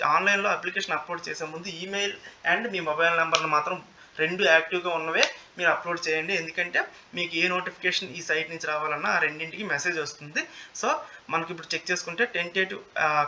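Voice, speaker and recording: A man's voice: on the high side, bright, forceful tone. A man with a Telugu Indian accent giving an informal explainer, repeating key details and mixing in English terms. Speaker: presenter of job notification videos. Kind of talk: informal explainer of a job notice. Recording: muffled lo-fi audio with faint noise.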